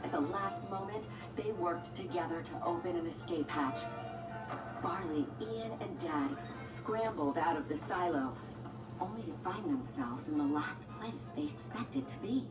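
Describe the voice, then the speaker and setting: Echoey low-pitched woman reading a storybook. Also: expressive, a little slow. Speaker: storyteller narrator. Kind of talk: scripted storybook narration. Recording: echoey, distant, music bed. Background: music and sound effects.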